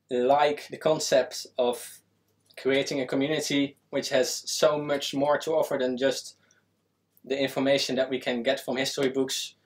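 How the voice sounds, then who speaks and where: Earnest young man from the Netherlands. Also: mid-range voice. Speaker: historian guest. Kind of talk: panel answer. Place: small studio with a world map.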